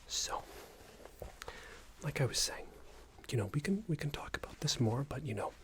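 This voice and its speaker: A man doing an ASMR roleplay as a passive-aggressive company boss, speaking in a soft, breathy whisper with hesitant, patronising phrasing. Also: a little high, very animated, normal speed. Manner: hesitant, repetitive, patronising workplace talk. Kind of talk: ASMR roleplay, improvised monologue.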